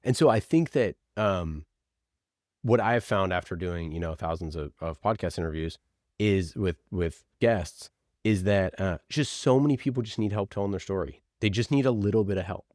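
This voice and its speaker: A man's voice: deep voice, moderate pace, darkish tone, no room sound, clean studio sound. A man speaking as a podcast producer and guest, answering conversationally and repeating phrases for emphasis.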